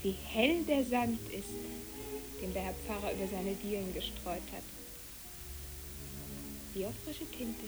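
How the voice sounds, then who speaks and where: A woman's voice: mid-range voice, a little slow, pauses often, over music, lightly acted, low-quality recording. A woman playing a dreamy, talkative child in a German radio play, speaking wistfully and lyrically. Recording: hissy old radio play recording.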